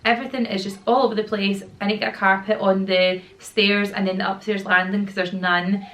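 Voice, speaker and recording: Chatty Glaswegian mum with a sore throat. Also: female voice, medium pitch, level tone, brisk, a bit bright. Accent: Glaswegian Scottish. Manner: chatty, rambling, slangy. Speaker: YouTuber and mum. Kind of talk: casual Q&A chat to camera. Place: at home with children nearby.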